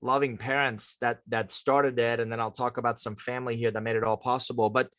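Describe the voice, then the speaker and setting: A man's voice: medium pitch, little pitch movement, brisk, a bit bright, dry recording, clean studio sound. A man in his fifties from Iran, a native Persian speaker, talking conversationally and emphatically as an interview guest who coaches leaders. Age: 50s.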